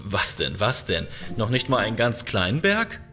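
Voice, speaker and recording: A man's voice: lowish voice, very animated, normal speed, sharp tone. A man playing an easygoing spaceship captain in a science-fiction radio play. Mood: playful. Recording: music behind the voice.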